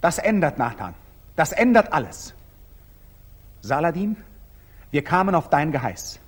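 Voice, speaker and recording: A proud young knight with a husky, fairly high male voice. He is impetuous and intense, his pitch swinging widely, in the declamatory manner of an old 1940s radio drama. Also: very animated, a little slow, full character voice. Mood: bitter, wounded. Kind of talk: 1940s German radio stage acting.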